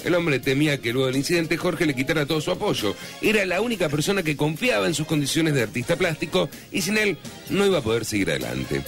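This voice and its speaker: Argentine guy narrating comic radio drama, super animated, creaky, music behind. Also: fairly high pitch, fast talker. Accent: Argentine Spanish.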